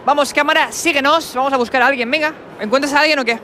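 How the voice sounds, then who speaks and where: Guy in his 20s, bright sharp voice, cheeky street quiz host at a convention, handheld mic. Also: extremely high pitch, quick pace, a little hiss or hum. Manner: brash, mocking, playful insults. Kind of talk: teasing street quiz interview. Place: indoor convention hall. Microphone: handheld mic with furry windscreen.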